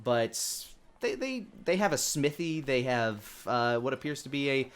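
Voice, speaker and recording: A man narrating a tabletop game as dungeon master, improvising and pausing often to search for words. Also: mid-range voice, animated, smooth.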